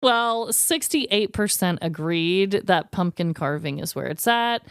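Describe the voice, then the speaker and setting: Woman podcast host, playful casual banter, really animated pitch, smooth clean voice, dry close mic. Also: measured pace, no room sound. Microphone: close podcast microphone.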